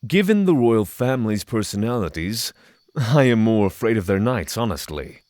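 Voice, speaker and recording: A man's voice: on the low side, big pitch swings, darkish tone, dry recording, studio quality. A man playing an earnest, cautious, reflective spiritual pilgrim in a fantasy audio drama, formal and hesitant. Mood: reserved, earnest. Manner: formal, hesitant, thoughtful pauses.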